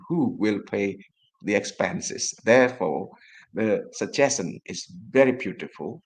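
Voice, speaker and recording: A man from Java, a native Javanese speaker with an Indonesian accent, giving an academic lecture in a formal, deliberate way and often addressing his audience directly. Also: lowish voice, poor audio. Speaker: guest lecturer, academic researcher. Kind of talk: academic lecture on research methods.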